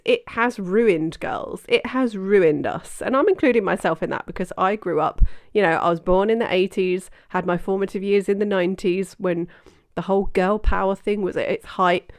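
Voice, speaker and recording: A woman's voice: medium pitch, normal speed, hardly any pauses, no room sound. A blunt, opinionated woman in her forties from Britain comments on clips for her reaction channel. She talks casually and swears now and then, close to the mic. The sound is dry with no room around it. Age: 40s. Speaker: reaction video host.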